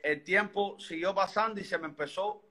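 Cuban man, 30s, high bright voice, earnest and rambling guest. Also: normal speed. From Cuba. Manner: earnest, rambling, many restarts. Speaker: interview guest. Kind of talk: personal story in conversation.

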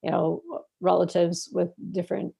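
A woman's voice: lowish voice, monotone, moderate pace, vocal fry, dark tone, slightly rough. A young woman from Bangladesh, a researcher, answering interview questions in a rambling way full of fillers and restarts.

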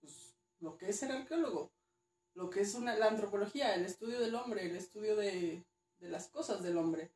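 A woman's voice: mid-range voice, expressive, moderate pace, a little mellow, echoey room, distant mic, a little hiss or hum. A woman with a Mexican accent, a guest archaeologist chatting casually, hesitant and repeating words now and then. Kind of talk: casual interview chat.

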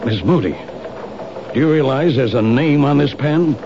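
A man's voice: medium pitch, animated, normal speed, creaky, noisy background. A man playing a dry, amused police lieutenant questioning a witness in a 1970s radio drama. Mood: wry. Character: wry male police lieutenant. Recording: dull lo-fi old radio broadcast.

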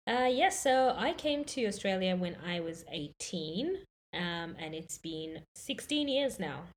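A woman in her thirties from Zimbabwe, talking in a relaxed, reflective way on a casual podcast. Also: lowish voice, a little slow, a little hiss or hum. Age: 30s. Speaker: podcast guest.

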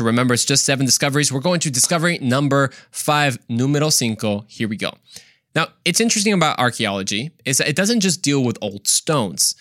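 A man with a medium-pitched voice hosts a podcast in a dry, close recording. He tells his story conversationally, as if chatting straight to the listener. His delivery is playful and joking, sprinkled with exclaimed asides. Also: a bit bright, no room sound. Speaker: podcast host.

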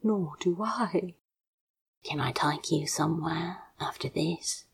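A woman voicing tender, shy men in love in an audio fiction, murmuring intimately in a breathy, halting whisper. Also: low-pitched, exaggerated voice. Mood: fond, hushed. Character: two tender, shy male lovers, softly murmuring.

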